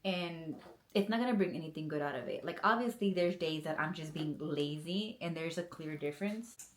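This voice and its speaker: Woman, thirties, slightly low pitch, casual vlog, earnest, encouraging, lots of fillers. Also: moderate pace, a little hiss or hum. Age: 30s. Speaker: police officer vlogger.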